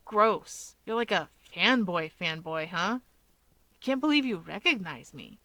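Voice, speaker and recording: A woman's voice: on the low side, animated, a little slow, bright, forceful tone, no room sound, heavily acted. Woman voicing a brash, scornful college guy. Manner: blunt, casual, scornful. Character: brash young male college student, mocking and defensive. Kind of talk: fan fiction audiobook character voice.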